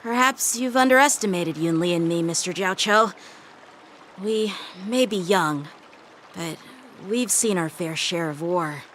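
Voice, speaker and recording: A woman's voice: on the low side, unhurried, fairly bright tone, slight background noise. A woman playing an earnest, steadfast young knight in a video game, speaking with composure. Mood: earnest resolve. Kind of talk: scripted video game acting.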